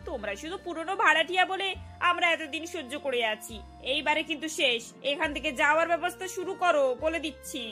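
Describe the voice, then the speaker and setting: Cartoon landlady, acted by a woman, high-pitched, piercing, impatient, harsh scolding, faint background music. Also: brisk, bright, forceful tone. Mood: stern warning. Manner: scolding, curt, threatening. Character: harsh, impatient landlord's wife demanding rent. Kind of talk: cartoon voice acting.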